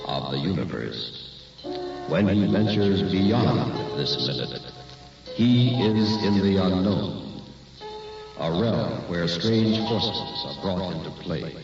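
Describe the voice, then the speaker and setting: An actor playing an ominous narrator introducing an old radio horror show, speaking slowly in a deep, gravelly voice. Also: male voice, distant mic, exaggerated voice. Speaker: show announcer. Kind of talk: 1960s radio drama announcing. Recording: echoey, muffled old radio recording.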